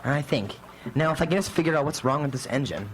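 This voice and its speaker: A man's voice: on the high side, expressive, quick pace, natural voice. Man playing an irritable, sarcastic teenage boy. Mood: busy, grudging. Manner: snappy, mocking, grudging. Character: sarcastic, irritable fifteen-year-old boy. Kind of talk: live radio drama acting. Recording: noisy live radio recording.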